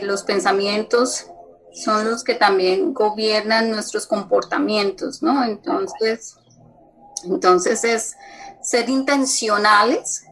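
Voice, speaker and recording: Slow, gravelly woman on a video call. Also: mid-range voice, rough recording. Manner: halting, many restarts and fillers. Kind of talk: thanking speaker in video call.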